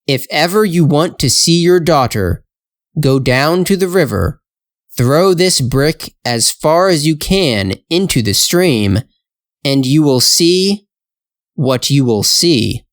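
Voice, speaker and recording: Man voicing a slow-speaking crocodile king. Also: a little high, lively intonation, no room sound, studio quality. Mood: solemn. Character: crocodile king, princely bridegroom, commanding and cryptic.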